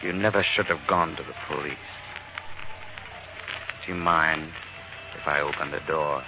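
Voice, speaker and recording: An actor playing a charming, cynical man whose breezy charm turns quietly menacing, in a 1950s radio drama. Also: rumbling low, fairly even intonation, a little slow. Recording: muffled old radio broadcast with music.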